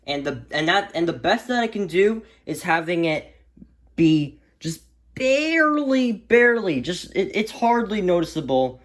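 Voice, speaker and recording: Casual hobby vlogger, male, slightly high voice, rambling rants with stammers and drawn-out emphatic words. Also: sing-song. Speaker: hobbyist video creator showing a radio collection. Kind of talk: casual unscripted vlog rant.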